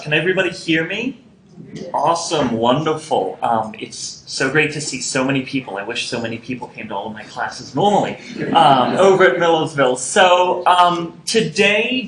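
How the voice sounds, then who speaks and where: A man's voice: a little high, a bit bright, slight background noise. A man giving a public lecture as a university archivist, upbeat and teacherly, with many ums. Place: lecture hall. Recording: echoey hall with faint ambience.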